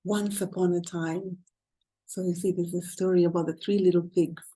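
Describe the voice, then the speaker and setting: A woman's voice: on the low side, level tone, normal speed, dark tone, slightly rough, rough recording. A woman reading a picture book aloud to children, expressively and with questions to the listeners. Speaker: children's storyteller.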